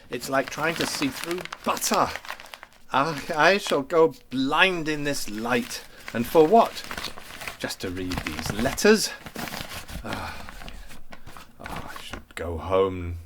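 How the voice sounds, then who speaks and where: A man's voice: on the high side, expressive, takes it slowly, background noise. A man playing a weary, exasperated wartime ministry clerk who mutters and grumbles to himself in a radio play. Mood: weary grumbling.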